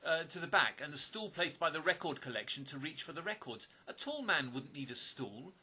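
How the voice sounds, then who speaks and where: A man acting in an audio play as an observant stranger with a hidden past, speaking in an earnest, deliberate, sometimes hesitant way. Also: a little high, very animated, pushed and bright, slightly rough, exaggerated voice. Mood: challenging.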